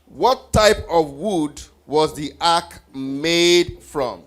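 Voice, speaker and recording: Slow-paced quiz leader for children. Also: mid-range voice, lively intonation. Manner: encouraging, repeats answers back to the group. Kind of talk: live children's Bible trivia quiz. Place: live group event with children before a stage.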